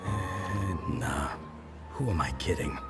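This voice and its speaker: A man's voice: low-pitched, slow. An actor playing a wistful, self-mocking townsman in a video game, rueful and casual. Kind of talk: English video game voice acting. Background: music.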